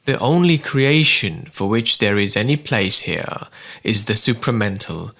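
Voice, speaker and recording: Low, gravelly male narrator. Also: a bit bright. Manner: measured, formal, deliberate phrasing. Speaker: reader of spiritual writings. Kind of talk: scripted narration, audiobook-style reading of spiritual texts.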